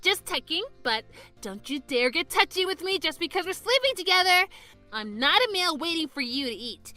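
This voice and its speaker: A very high, bright voice bouncing all over the place, a woman playing a quick-tempered young girl in a manga dub who bristles at every remark. Soft music plays behind her. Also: very animated, heavily acted. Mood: defensive. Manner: huffy, quick-tempered, sharp-tongued. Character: prickly, easily irritated young girl. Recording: faint background music.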